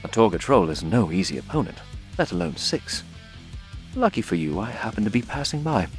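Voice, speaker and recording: Guy acting as cocky young elf prince, relaxed n boastful, voice really swings around, guitar music under it. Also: lowish voice, a little fast. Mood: smug, relaxed. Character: cocky, youthful muscular elf prince and self-styled hero. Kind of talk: dramatized fiction audiobook.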